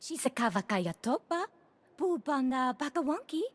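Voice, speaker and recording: An actress playing an alien in a video game, talking in an invented alien tongue. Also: female voice, sits high, sing-song, moderate pace. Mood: earnest. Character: female alien speaking an invented gibberish tongue. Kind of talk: video game cutscene acting.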